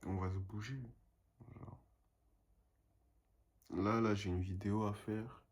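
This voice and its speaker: A man's voice: fairly low pitch, steady tone, slow, breathy edge. A young man and painter talking in a casual vlog monologue, rambling and self-motivating, dropping English phrases now and then.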